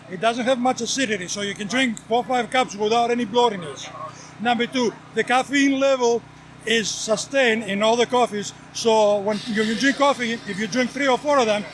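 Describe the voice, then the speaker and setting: A man from Greece with a Greek accent, a coffee vendor giving an earnest sales pitch outdoors at a race event. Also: a bit bright, a little hiss or hum.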